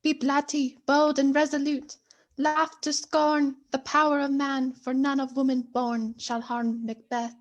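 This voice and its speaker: A woman playing an eerie apparition in a Shakespearean radio play, proclaiming a bold prophecy in a drawn-out manner. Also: high-pitched, little pitch movement. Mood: urgent, eerie. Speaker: student performer.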